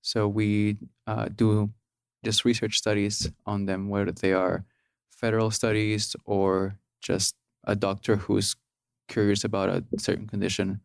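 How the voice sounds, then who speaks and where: A man in his thirties from Peru speaks in a low, gravelly voice as a podcast guest. He talks casually, pausing often with ums and restarting his phrases. The sound is dry and close. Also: level tone, no room sound. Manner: hesitant, many ums and restarts. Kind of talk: casual interview.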